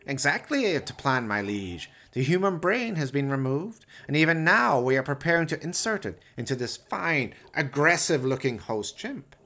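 A man playing an evil, excitable mad scientist in a radio play, pompous, theatrical and fawning toward his master. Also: on the high side, normal speed, few pauses, voice pushed up, full character voice. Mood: fawning, nervous. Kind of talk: radio play villain acting.

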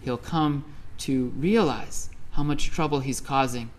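A storyteller voicing a big, strong, patient ox in a children's story, speaking slowly and gently. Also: medium pitch, lightly acted. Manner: slow, calm and soft.

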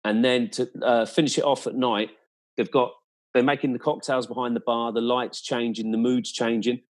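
A man's voice: medium pitch, fairly even intonation, moderate pace. A man in a casual, conversational chat, recounting his experiences as a guest in an enthusiastic, descriptive and emphatic way.

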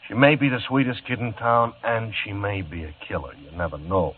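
A gruff, wary police detective, played by an actor, speaking in a deep voice with a warning edge. The sound is an old radio broadcast, thin and tinny like a phone line. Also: male voice, steady tone, a little fast, slight background noise, natural voice. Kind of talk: 1950s radio drama acting.

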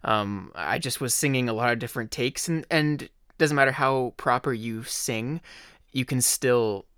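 A man hosting his own podcast, talking casually in a slightly hoarse voice, rambling with fillers. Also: mid-range voice, a bit bright, no echo, clean studio sound. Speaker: podcast host. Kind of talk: casual solo podcast talk.

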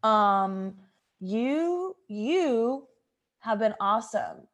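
A woman playing an awkward, apologetic date in improvised comedy, with halting, repetitive, sheepish speech. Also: a little high, lively intonation, a bit bright, full character voice. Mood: awkward, upbeat. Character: awkward, apologetic young date who forgets names.

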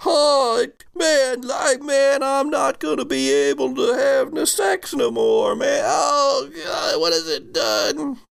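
Wounded tabletop-game casualty, played by a man: pitched way up, wailing, pleading, slangy. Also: animated, slow pace, vocal fry, hardly any pauses, exaggerated voice. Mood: pained, distraught. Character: injured man groaning in pain, distraught, slangy complaining.

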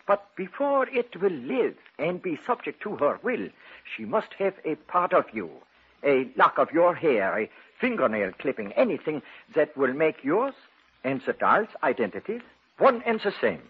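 An actor playing a solemn, learned occult researcher who explains things formally and ominously in an old radio drama. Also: male voice, a little high, sing-song, moderate pace, breathy edge, exaggerated voice. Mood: ominous, commanding. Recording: muffled tinny 1940s-50s radio broadcast.

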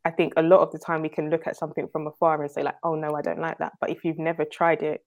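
A woman's voice: low voice, level tone, quick pace, a little mellow, muffled recording. A young woman from North London with a London accent, chatting as a guest in an interview, laughing now and then and using "like" a lot. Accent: London English. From North London, England. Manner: chatty, laughs often, leans on "like" and "um". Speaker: guest entrepreneur. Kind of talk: casual interview talk.

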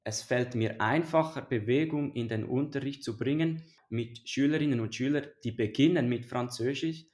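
Very deep-voiced woman interviewer. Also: moderate pace, a little mellow. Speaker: podcast host, French teacher. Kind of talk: interview questioning.

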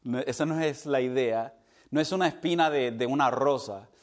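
Male pastor, lively sermon delivery, mixes in English, laughs off his own slips. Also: mid-range voice, moderate pace. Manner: animated preaching, mixes in English words; stumbles over words and laughs at himself.

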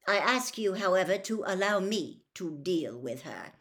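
A woman's voice: fairly low pitch, big pitch swings, measured pace, a bit bright, heavily acted. A woman voicing a stern, coldly angry senior male government wizard with a curt, formal, barking delivery in an audiobook.

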